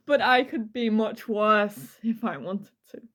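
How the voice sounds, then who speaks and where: A woman's voice: mid-range voice, measured pace, fairly bright tone. A woman co-hosting a true crime podcast, switching between dramatic reading and casual, irreverent banter. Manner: playful, irreverent, swears casually.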